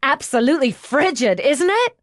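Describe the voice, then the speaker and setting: A woman's voice: high-pitched, big pitch swings, normal speed, no echo, studio quality, pitched above the performer's own voice. A woman voicing a bubbly, brash, affectionate young woman who gushes in slang, in an audiobook. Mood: bubbly, gushing. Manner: gushing, slangy, exclamatory.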